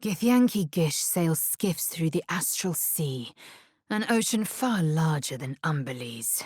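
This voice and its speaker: Fantasy game role: fierce, scornful alien warrior woman. Measured, husky, clipped and imperious. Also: low-pitched, animated, darkish tone, dry recording, studio quality, heavily acted. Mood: solemn resolve. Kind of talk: scripted fantasy video game acting.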